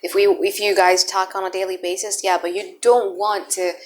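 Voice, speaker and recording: A woman's voice: mid-range voice, level tone, normal speed. A woman whose first language is Indonesian gives a chatty, blunt tarot reading, thinking aloud as she turns the cards. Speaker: tarot reader. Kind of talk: casual tarot reading to camera.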